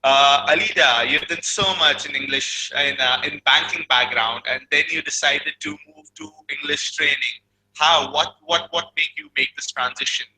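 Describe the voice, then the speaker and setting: A man's voice: on the high side, steady tone, moderate pace, pushed and bright, rough recording. A man hosting a webinar, introducing the topic and his guest formally, with frequent uh fillers. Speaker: webinar host.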